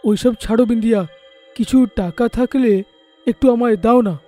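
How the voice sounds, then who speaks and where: Casting: man as rude drunken gardener for cartoon horror tale, mellow-toned, defiant, quarrelsome. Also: very high voice, steady tone, moderate pace, faint music behind. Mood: demanding. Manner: rude, defiant, whining for money. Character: insolent drunkard male village gardener, quarrelsome. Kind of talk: animated horror story voice acting.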